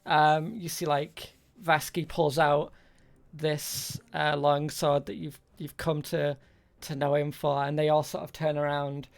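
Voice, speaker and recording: A game master improvising narration for a tabletop role-playing game, haltingly, searching for words as the scene is described. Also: mid-range voice, little pitch movement, creaky.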